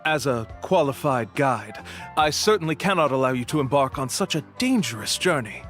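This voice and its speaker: Smooth-talking con man guide, theatrically lively male actor. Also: mid-range voice, moderate pace, natural voice. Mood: grandiose. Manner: florid, salesmanlike, persuasive. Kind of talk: animated video game dialogue. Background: music.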